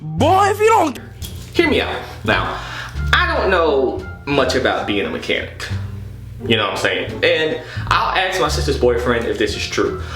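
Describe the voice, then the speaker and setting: A man telling a story casually and comedically, in an exasperated, animated, slangy way with plenty of swearing. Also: a little high, moderate pace. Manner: animated, exasperated, profane, slangy with repeated "you know what I'm saying". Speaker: storytelling vlogger. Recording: echoey room with music behind.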